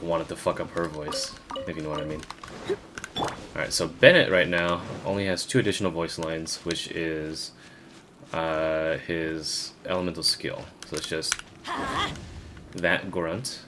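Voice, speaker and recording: Low voiced guy chatting over his gameplay, kinda thinking out loud, noisy recording. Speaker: gaming video creator. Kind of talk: casual gameplay commentary. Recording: noticeable background noise.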